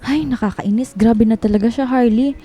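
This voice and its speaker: Woman playing a loyal female coworker, highish soft dark voice, concerned, chummy, radio drama, music bed. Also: moderate pace, lightly acted. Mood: indignant. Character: caring, indignant, supportive female office friend.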